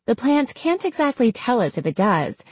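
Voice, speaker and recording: A woman voicing an eager young female dragon scientist in an audiobook, chattering in an excited, inquisitive, rambling way. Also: mid-range voice, a little slow, no room sound. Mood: anxious curiosity.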